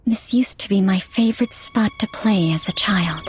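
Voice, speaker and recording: A female actor plays a reserved young woman on a secret investigation in a game. She speaks deliberately and guardedly at an ordinary female pitch, with music clearly playing behind her. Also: lightly acted. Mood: pensive, resolute. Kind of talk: scripted video game dialogue and monologue.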